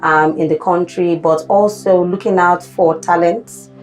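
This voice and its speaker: A woman guest describing her leadership work in an interview, measured, with frequent pauses. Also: on the low side, steady tone, faint music behind. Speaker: guest, business manager. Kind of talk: interview talk.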